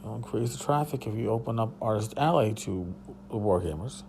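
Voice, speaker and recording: A tired man with a hoarse voice, hosting a casual solo podcast from a hotel room and rambling loosely with a bit of swearing. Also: lowish voice, lively intonation, a little fast, a little mellow, slight background noise. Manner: rambling, profane, restarts. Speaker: podcast host.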